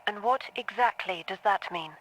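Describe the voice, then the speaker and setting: A woman's voice: mid-range voice, moderate pace, fairly bright tone, close to an everyday voice, poor audio. Woman acting a coolly detached therapist. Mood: coolly insistent. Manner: casual, clinical, unemotional. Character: detached, probing female therapist. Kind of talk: audio drama acting.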